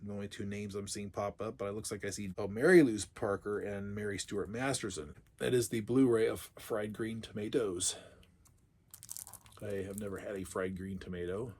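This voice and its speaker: A middle-aged man who is sick, his voice gone raspy and a little low. He talks in a loose, chatty way, musing aloud and laughing now and then as he unboxes his purchases. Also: fairly even intonation, normal speed, darkish tone. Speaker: collector vlogger. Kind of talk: casual unboxing monologue.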